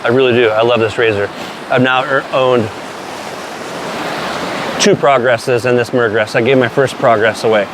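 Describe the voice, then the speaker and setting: A man's voice: mid-range voice, fairly even intonation, moderate pace, long pauses, background noise. A man in his late forties casually reviewing shaving products, enthusiastic and plainspoken, with some mild swearing. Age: 40s. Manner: enthusiastic, plainspoken, mildly profane.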